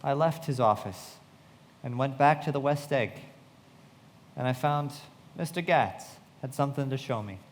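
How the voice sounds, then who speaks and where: A man's voice: mid-range voice, moderate pace, natural voice. An actor playing an earnest, disillusioned young man who narrates a radio play. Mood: reflective. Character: earnest, disillusioned young man recalling his friend's death. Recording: reverberant hall.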